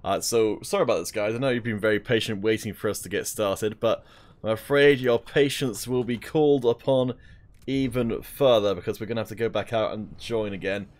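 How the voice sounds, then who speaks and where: A man's voice: fairly low pitch, fairly quick. Rambling, self-deprecating male caster. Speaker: esports caster. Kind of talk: casual stream commentary.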